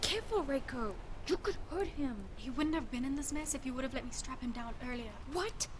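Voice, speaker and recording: A woman's voice: sits high, brisk, close to an everyday voice. A woman playing a bickering rescuer in a fantasy wasteland audio drama. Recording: clear background noise.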